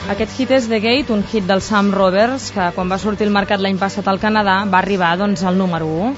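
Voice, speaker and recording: A woman's voice: mid-range voice, steady tone, brisk. Catalan woman hosting a radio interview. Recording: dull lo-fi radio sound with faint music.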